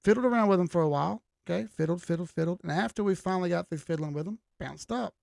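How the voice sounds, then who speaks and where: A man hosting a casual livestream lesson on reading price charts, chatty and often checking in with "okay?", his voice going hoarse from a cold. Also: high-pitched, fast talker, a little mellow, no echo.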